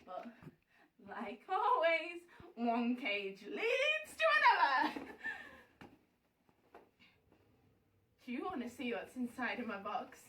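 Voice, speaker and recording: A woman acting a fragile, pleading battered wife in a theatrical monologue, halting and stammering, swinging into tearful laughter as she addresses her mum. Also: high voice, huge pitch swings, fairly bright tone, slight background noise, heavily acted. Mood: wounded, defiant. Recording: roomy echo, distant microphone.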